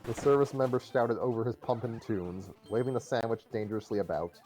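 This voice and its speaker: A man's voice: medium pitch, steady tone, a little fast, darkish tone, low-quality recording. Man narrating a comic story, slightly stumbling. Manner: stumbles over and re-reads phrases. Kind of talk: comic fan-story narration on a live stream. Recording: music behind the voice.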